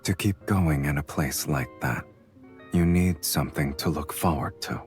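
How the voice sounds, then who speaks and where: A man playing a suave, devoted lover who teases gently and intimately in a romantic audio drama. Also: unhurried, soft background music. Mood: tender, teasing. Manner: low-key, teasing, intimate. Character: suave, teasing, devoted adult male lover.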